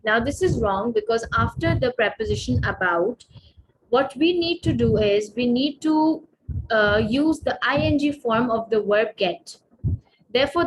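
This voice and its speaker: Pakistani woman walking thru grammar points, slightly high voice, uh pauses, faint hiss behind. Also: low-quality recording. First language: Urdu. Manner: measured, explanatory, frequent "uh" pauses. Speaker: student presenter. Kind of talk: recorded grammar presentation.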